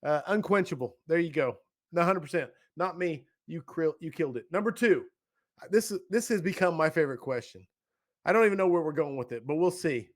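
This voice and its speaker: Podcast host, male, slightly high-pitched, enthusiastic and jokey. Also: animated, a little fast. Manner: enthusiastic, laughing, playful. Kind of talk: casual interview chat.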